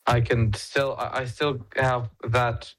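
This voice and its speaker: A man's voice: medium pitch, flat delivery, noisy background. A young man from Lithuania with a Lithuanian accent, chatting casually and often repeating words as he hunts for the right phrase. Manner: halting, repeats words while searching for phrasing. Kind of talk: casual chat on a voice call.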